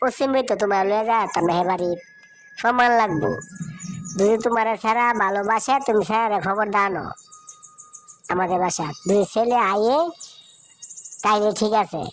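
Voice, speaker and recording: Woman playing a firm village aunt, mid-pitched. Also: normal speed, pauses often, lightly acted. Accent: eastern Bangladeshi. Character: practical, worried middle-aged village aunt. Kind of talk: cartoon voice acting. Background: music.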